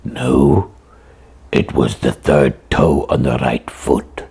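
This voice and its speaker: A performer voicing a boastful, bumbling puppet doctor detective in a comic puppet show, pompous and very raspy. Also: extremely low pitch, very animated, slow pace, dark tone, full character voice. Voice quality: very raspy, gravelly, husky.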